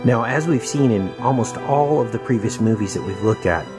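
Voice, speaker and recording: A man's voice: mid-range voice, expressive, moderate pace. A man giving an earnest explanatory commentary over film clips, addressing his listeners as a host would. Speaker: host and narrator of conspiracy videos. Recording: loud music behind the voice. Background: loud background music.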